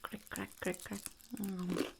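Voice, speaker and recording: A woman voicing a blunt, mischievous female goblin in a whispered ASMR roleplay, deadpan and cynical, with a creaky, breathy voice close to the mic. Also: medium pitch, slow, background noise. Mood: matter-of-fact, sly. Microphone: close ASMR microphone.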